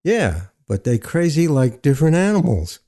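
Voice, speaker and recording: A man's voice: fairly high pitch, very animated, normal speed, warm tone. A man narrating audio fiction and voicing a wry, knowing male prison inmate with colloquial street phrasing.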